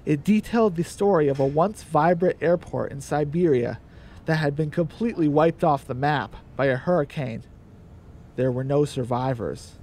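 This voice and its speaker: A man's voice: on the high side, moderate pace, darkish tone, a little hiss or hum. A man narrating a scripted radio play as its storyteller.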